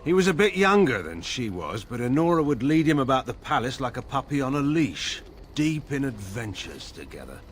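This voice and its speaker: An actor playing a proud, stern middle-aged general and father in a fantasy game, speaking in a grave, measured, formal way with dry sarcasm. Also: medium pitch, big pitch swings, slight background noise, lightly acted. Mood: wistful, fond. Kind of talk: dramatic fantasy game dialogue.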